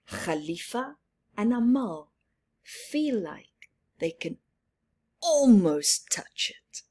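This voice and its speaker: A woman reading a picture book aloud to children, expressive and sometimes dropping to a whisper. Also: mid-range voice, very slow, slightly rough. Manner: expressive, drops into soft whispers. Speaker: children's story reader.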